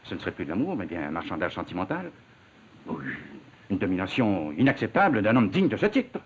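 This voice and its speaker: Casting: stern rhetorical father, very low voice, vintage TV melodrama, dull soundtrack. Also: very animated, brisk. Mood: indignant. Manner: formal, grandiloquent. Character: stern, moralizing, rhetorical middle-aged father. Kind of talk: 1950s television melodrama acting. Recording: muffled, faintly noisy 1950s television soundtrack.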